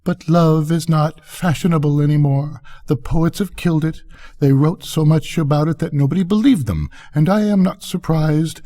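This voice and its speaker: A man's voice: fairly high pitch, normal speed, mellow, voice pushed up, lightly acted. An older man voicing a pensive firework in a storybook who is proud of her broken heart, wistful and self-dramatizing. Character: pensive, lovelorn female-styled Catherine Wheel firework, proudly brokenhearted.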